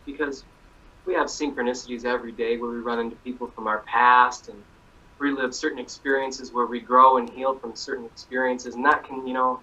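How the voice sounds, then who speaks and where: An easygoing man talks as a guest musician in a friendly chat. His voice is very bright and forceful, and the recording sounds dull and lo-fi. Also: mid-range voice, little pitch movement, brisk, slightly rough. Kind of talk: casual interview chat.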